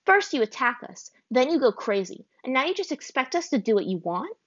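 A woman's voice: sits high, big pitch swings, brisk, no room sound, lo-fi sound, voice pushed up. A woman putting on a bratty, scoffing Azula. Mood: scornful. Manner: sassy, scoffing, talks down. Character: bratty teasing young firebender girl.

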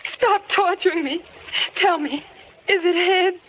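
High, piercing, terrified captive girl. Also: slow pace, fairly bright tone, full character voice. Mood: pleading. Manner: breathless, plaintive, melodramatic. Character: terrified captive young woman, desperate and pleading. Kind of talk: old-time radio horror acting. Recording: muffled vintage radio broadcast recording.

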